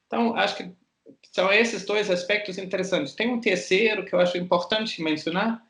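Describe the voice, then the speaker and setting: A man, a museum director and curator, answering interview questions thoughtfully and pausing to search for words. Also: high voice, fairly even intonation, fairly bright tone, reverberant. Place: art museum gallery.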